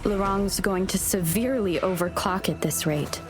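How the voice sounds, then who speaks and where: A woman's voice: mid-range voice, moderate pace, music in the background, close to an everyday voice. A woman playing an earnest, level-headed young adventurer in a video game, thoughtful and observant. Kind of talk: video game cutscene acting.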